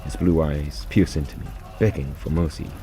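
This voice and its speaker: Very deep-voiced man reading a grim story. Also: normal speed. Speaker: author reading own short story. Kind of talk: dramatic first-person story reading. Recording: noisy recording with constant background noise.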